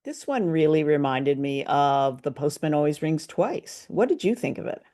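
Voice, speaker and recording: A man's voice: a little high, animated, moderate pace, darkish tone, faint music behind. A man co-hosting a casual review chat about a radio play. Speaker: podcast co-host.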